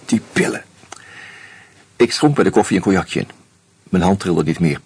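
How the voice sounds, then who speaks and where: An actor playing an anxious out-of-work husband who narrates in the first person, wry and hesitant, in a radio play. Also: male voice, deep voice, normal speed, darkish tone, breathy edge, no room sound, heavily acted.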